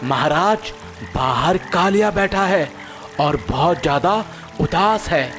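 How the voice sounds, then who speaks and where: An actor voicing a loyal, eager frog messenger in a cartoon moral story, respectful and dramatic in manner. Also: male voice, on the high side, animated, slow pace. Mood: earnest, grave. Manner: respectful, dramatic tale-bearing. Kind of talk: animated Hindi moral story dialogue. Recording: soft music behind the voice.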